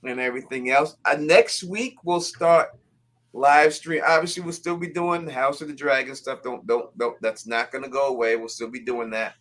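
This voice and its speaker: Bright-voiced live stream host. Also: male voice, mid-range voice, moderate pace. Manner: upbeat, shout-outs to viewers, repeats words. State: voice getting raspy. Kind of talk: casual live stream chat.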